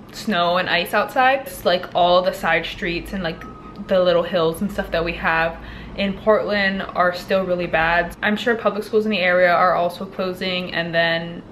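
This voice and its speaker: A woman's voice: on the low side, a bit bright, a little hiss or hum, low-quality recording. Chatty young woman vlogging at home. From Hawaii. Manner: chatty, upbeat, many fillers like "um" and "like". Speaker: dental student vlogger. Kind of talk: casual vlog chat. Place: home, indoors.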